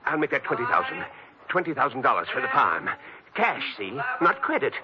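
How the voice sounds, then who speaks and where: A man playing a blustering, excitable and shady bank president in a 1950s radio drama. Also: mid-range voice, brisk, a loud crowd. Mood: frantic bidding. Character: blustering shady male bank president, flustered and easily panicked. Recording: muffled old radio broadcast recording.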